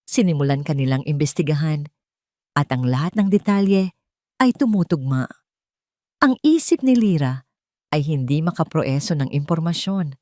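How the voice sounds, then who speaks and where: Animated woman telling a dramatic story. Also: low-pitched, normal speed, long pauses, dry recording. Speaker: story narrator. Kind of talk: scripted dramatic storytelling narration.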